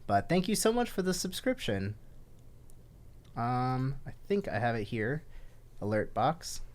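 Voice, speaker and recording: Low-voiced chatty woman streamer. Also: sing-song, unhurried, darkish tone. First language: Telugu. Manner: chatty, explaining, with fillers and laughs. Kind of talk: casual game stream commentary. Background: game music.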